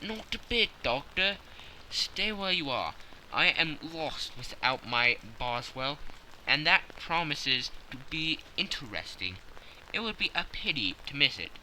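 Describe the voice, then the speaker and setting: Male narrator as a self-assured gentleman detective in an audiobook. Brisk, authoritative, bright edge, light background noise. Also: on the high side, very animated, exaggerated voice, rough recording. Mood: brisk, eager. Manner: crisp, dry, authoritative.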